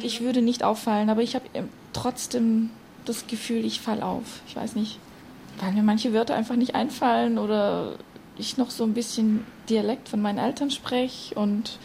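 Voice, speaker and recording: A woman gives a documentary interview with a gravelly, fry-laden voice and a mellow tone. She speaks in an uncertain, halting way. Faint ambience hums behind her. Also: on the high side, steady tone, a little hiss or hum.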